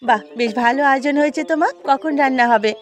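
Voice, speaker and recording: A woman's voice: sits high, fast, lightly acted. A woman voicing an eager, childlike young daughter-in-law from the city in a cartoon. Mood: eager, longing. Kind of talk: Bengali cartoon fairy-tale voice acting. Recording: music under the voice.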